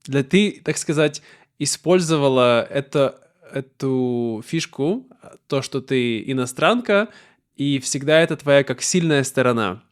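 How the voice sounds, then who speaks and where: A man from Russia hosting a slow conversational interview, phrasing his questions carefully and rewording them as he goes. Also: medium pitch, expressive, smooth. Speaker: interviewer, host.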